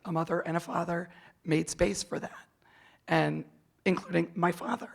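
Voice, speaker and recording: A woman's voice: on the low side, fairly even intonation, normal speed, gravelly. A woman speaking as a panelist at a conference, candid and personal, often restarting her sentences. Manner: candid, many restarts and fillers. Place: conference hall stage.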